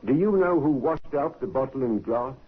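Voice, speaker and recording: An actor playing a brisk, formal police inspector asking clipped questions in a 1950s radio drama. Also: male voice, medium pitch, animated, husky. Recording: tinny old radio broadcast.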